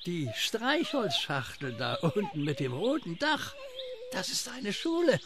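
Gravelly folksy old country neighbour. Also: male voice, sits high, wildly swooping pitch, measured pace, a crowd in the background, exaggerated voice. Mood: friendly, instructive. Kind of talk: German radio play acting.

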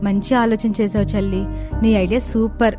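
Woman acting caring young village sister in a story cartoon, earnest, medium pitch, music behind. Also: steady tone, moderate pace, close to an everyday voice. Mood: concerned, determined. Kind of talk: animated moral story dialogue. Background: background music.

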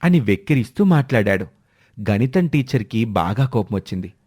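A man's voice: medium pitch, sing-song, moderate pace, darkish tone, breathy edge. A man narrating a scripted moral story for children as a storyteller.

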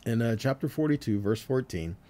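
Guy doing a casual bible teaching, soft mellow tone, pitch moves around a lot, asks questions as he goes. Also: animated, a little fast. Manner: conversational, checks in with rhetorical questions and "right?". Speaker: Bible teacher giving a weekly scripture teaching. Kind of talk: informal teaching sermon with scripture readings.

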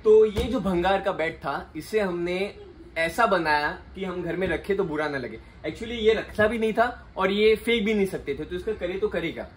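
A man's voice: high voice, moderate pace, a little hiss or hum. A young man in his twenties hosting a playful, joking house tour with a phone held at arm's length indoors. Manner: playful, joking, mixes Hindi and English. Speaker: vlogger host. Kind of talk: casual house-tour chat. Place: apartment rooms indoors.

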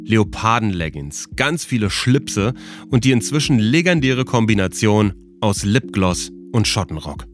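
Low-voiced man narrating over music. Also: sing-song, a little slow. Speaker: podcast narrator and host. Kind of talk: scripted documentary narration.

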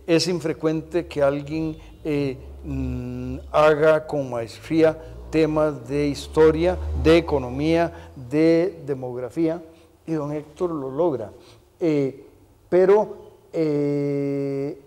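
Halting, slow-spoken older man in reverberant room. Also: on the high side, dark tone. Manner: halting, deliberate delivery with long hesitations. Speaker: interview show host. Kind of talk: televised conversational interview. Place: brick-walled indoor room, television set. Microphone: lapel mic.

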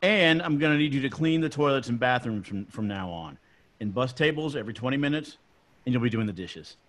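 A man's voice: mid-range voice, expressive, fairly quick, a bit bright, close to an everyday voice. A man reading the part of a stingy restaurant boss at a table read, falsely friendly. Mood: smug.

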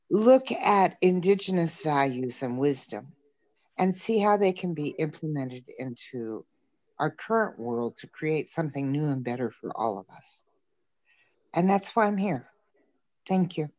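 A woman's voice: deep voice, darkish tone. An older woman introducing herself on a video call, speaking earnestly and expressively. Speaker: council member. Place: indoor room. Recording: muffled video call audio.

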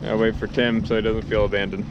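A young man casually narrating his sailing trip from the boat, relaxed and chatty, laughing now and then. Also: fairly low pitch, quick pace. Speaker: sailing vlogger. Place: outdoors on a small sailboat in wind. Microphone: action camera mounted on the boat. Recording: dull action-camera audio with loud wind and water. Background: wind, waves and outboard motor.

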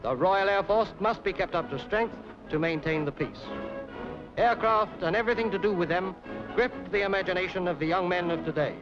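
British man, high pitched rousing old recruitment film narration, music behind, muffled vintage sound. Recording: dull 1940s film soundtrack.

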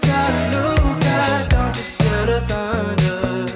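A woman sings pop vocals in a high register. Her phrasing is slow, and loud music carries along with her. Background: loud pop music backing.

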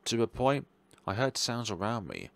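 A man's voice: mid-range voice, wildly swooping pitch, normal speed, gravelly, fairly bright tone, dry recording. A man reading a visual novel's story aloud as dramatic narration for a let's play. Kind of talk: dramatic narration of game text.

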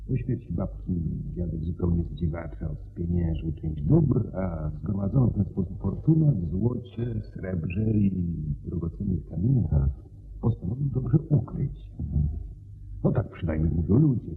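An actor playing a worried, gloomy gentleman landowner in a radio play, speaking in a measured, formal way. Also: low-pitched, gravelly. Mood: grave, recounting. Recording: tinny radio recording with hum.

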